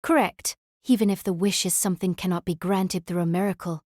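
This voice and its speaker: A woman's voice: lowish voice, fairly even intonation, a little fast, darkish tone, no room sound, clean studio sound, lightly acted. A woman playing a proud, earnest young knight king spirit in an audio drama, haughty and formal in her delivery. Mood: solemn pride.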